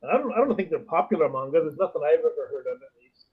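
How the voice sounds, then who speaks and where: Husky higher-pitched man, dull lo-fi sound. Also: expressive, fast talker. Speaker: podcast co-host. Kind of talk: casual podcast chat.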